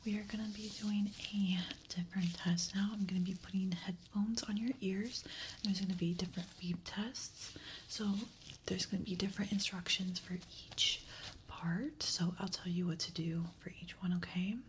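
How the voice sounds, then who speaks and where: A woman whispering gently and reassuringly in a breathy voice, playing a doctor who guides the listener through an ASMR exam. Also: medium pitch, steady tone, moderate pace, slight background noise.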